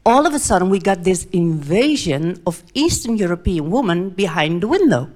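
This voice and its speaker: A middle-aged Dutch woman with a Dutch accent, giving an earnest talk in English in short phrases, pausing for an interpreter. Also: on the low side, big pitch swings, a little mellow. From Netherlands. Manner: earnest, pauses often between short phrases. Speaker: speaker.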